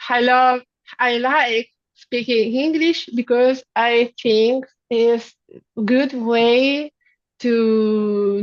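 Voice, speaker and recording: Halting Italian-accented woman learner, very slow, dull dry call audio. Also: fairly high pitch. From northern Italy. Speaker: English learner. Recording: dull, dry sound over a video call.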